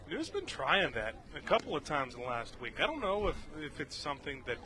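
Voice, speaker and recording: A man's voice: on the high side, wildly swooping pitch, brisk, bright, forceful tone. A man giving conversational, explanatory color commentary on a live baseball broadcast from an outdoor ballpark. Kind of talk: live sports analysis, conversational. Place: outdoor ballpark, beside the field. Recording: outdoor ballpark crowd noise behind. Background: crowd noise.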